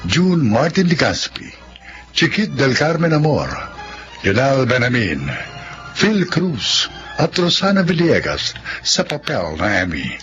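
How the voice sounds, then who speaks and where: A male radio drama host reads scripted narration with formal, dramatic flair and lively swings in pitch. The recording is a little dull, with clear music under the voice. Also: slow pace.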